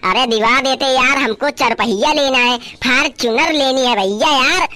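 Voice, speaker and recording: Swaggering village policeman put on by a cartoon dubber, male role, very high bright voice, bombastic. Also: moderate pace. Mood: eager, pleading. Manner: bombastic, drawling threats, theatrical. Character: blustering, vain, bribe-taking village policeman, swaggering man. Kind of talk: comedy cartoon dubbing.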